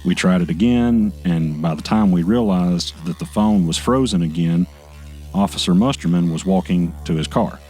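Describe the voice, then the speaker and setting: An actor playing a low-key narrator, giving measured, matter-of-fact first-person narration in an audio drama. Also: male voice, warm tone, faint music behind, lightly acted. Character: low-key male narrator recounting his mysterious misfortunes.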